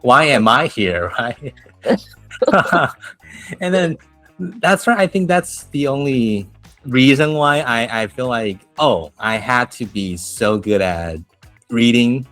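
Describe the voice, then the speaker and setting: A man with a Taiwanese accent who teaches English, chatting casually and telling animated stories with laughs and restarts. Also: medium pitch, slow, dry recording, soft background music. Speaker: English teacher, podcast host. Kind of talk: casual chat.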